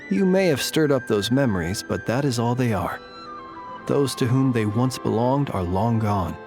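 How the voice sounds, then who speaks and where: A man voicing a calm, courtly lightkeeper in a video game, speaking in formal, measured, old-fashioned phrases. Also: medium pitch, over music, natural voice. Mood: reassuring.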